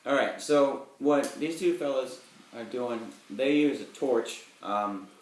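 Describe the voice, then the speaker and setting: A man's voice: medium pitch, slow, far from the mic. A young man from Florida co-hosting a cigar show, explaining things casually between bits of banter. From Florida, United States. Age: young adult. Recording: echoey room, clear background noise, clicks.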